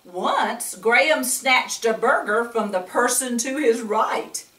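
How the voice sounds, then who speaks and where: Older woman, grandmotherly storyteller, unhurried pace, picture-book read-aloud, coaxing questions to children, mic at a distance in an echoey room. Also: fairly high pitch, animated, sharp tone.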